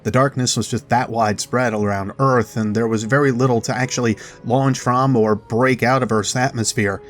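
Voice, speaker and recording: A man's voice: medium pitch, fairly even intonation, normal speed. A man hosting a video, giving chatty, explanatory commentary as a voice-over about game lore. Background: music.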